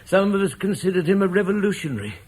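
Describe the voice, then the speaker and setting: An actor playing a mild, hesitant landowner with a lame leg who tries to calm the room in a radio play. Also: male voice, high-pitched, fast talker, slightly rough, heavily acted. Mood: placating. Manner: hesitant, placating. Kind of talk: 1950s radio play acting.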